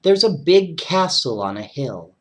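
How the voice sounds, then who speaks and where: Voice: mid-range voice, big pitch swings, measured pace. A storyteller voicing a dreamy, earnest young girl full of wonder in a bedtime storybook. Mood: eager wonder.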